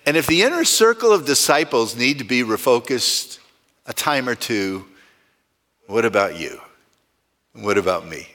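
Slow, lively-voiced male preacher. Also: mid-range voice. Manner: conversational preaching with rhetorical questions. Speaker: pastor. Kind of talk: sermon.

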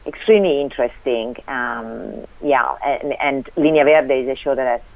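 A woman's voice: rumbling low, normal speed, creaky, no room sound. Italian-accented woman on a phone line. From Italy. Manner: hesitant, many fillers and restarts. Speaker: guest, interpreter. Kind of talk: radio interview.

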